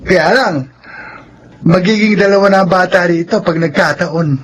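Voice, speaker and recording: An actor with a Filipino accent playing a blunt, candid young adopted son in a radio drama. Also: male voice, high-pitched, fairly even intonation, moderate pace, rough recording. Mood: matter-of-fact, teasing. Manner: frank, conversational.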